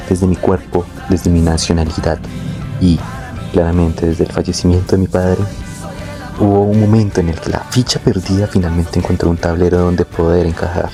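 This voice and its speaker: A young man reading a scripted, reflective personal narration in a measured, literary way. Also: sits low. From born in Venezuela, moved to Colombia. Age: young adult. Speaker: narrator of his own life story.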